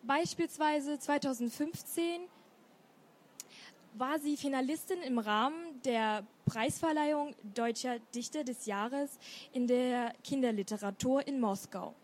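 Eine Frau, die als Moderatorin eine Autorin auf einer Buchmesse vorstellt und ihr Fragen stellt. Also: female voice, sits high, measured pace. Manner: reads intro, asks friendly questions. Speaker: event moderator. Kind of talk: book fair interview.

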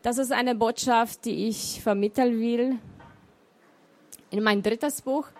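Slow-reading Romanian-accented woman poet. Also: fairly high pitch, fairly even intonation, a little mellow, rough recording. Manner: slow, deliberate, emotional recitation. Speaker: poet and author. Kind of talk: poetry reading.

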